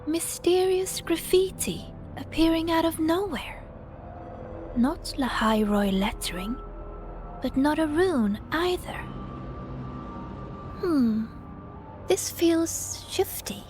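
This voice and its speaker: Very high-voiced, inquisitive young girl. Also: expressive, exaggerated voice. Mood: puzzled, suspicious. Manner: earnest, deliberate, curious. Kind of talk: animated video game voice acting. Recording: music under the voice.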